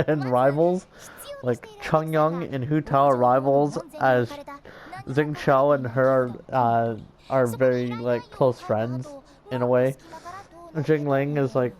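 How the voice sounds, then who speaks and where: Low-voiced woman doing profane game commentary. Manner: crude, irreverent dark humour, giggly. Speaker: gamer, streamer. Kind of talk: casual gameplay commentary, profane.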